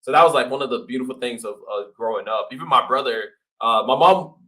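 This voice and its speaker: A man's voice: medium pitch, fast talker, bright, forceful tone. A man from Nigeria who moved to the States as a boy, chatting as an interview guest in an informal, slangy storytelling way. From Nigeria until age twelve, then the United States. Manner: informal, slangy, quoting himself and others. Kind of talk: casual storytelling chat.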